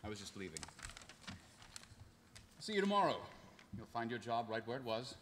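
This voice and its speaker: An actor playing a stoic, self-sacrificing heroic boss, restrained and polite, at a live table read. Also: male voice, medium pitch, sing-song, normal speed, a bit bright. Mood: resigned, restrained. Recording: noisy convention hall.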